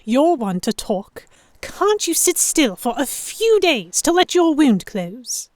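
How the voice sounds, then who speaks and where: A woman playing a proud, sharp-tongued historical heroine who narrates a pirate romance in the first person, wry and expressive. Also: sits high, slow pace, dry recording, clean studio sound, full character voice. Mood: scolding, dry. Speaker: narrator and lead voice actor.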